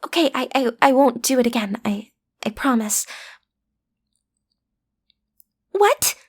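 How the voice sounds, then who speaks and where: A woman's voice: high-pitched, expressive, moderate pace, slightly rough. A woman playing a cute, easily flustered teenage villain's daughter in an audio roleplay, stammering earnestly as she tries to seem intimidating. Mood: flustered, pleading.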